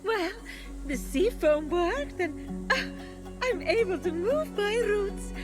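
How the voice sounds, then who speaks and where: A sugary, theatrical goddess in a video game, pitched extremely high. She speaks slowly and fussily, gushing with dramatic pauses over a clear music bed. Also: animated, full character voice. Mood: breezy, evasive. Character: gushing, fussy goddess trapped as a talking tree. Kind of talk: video game cutscene acting.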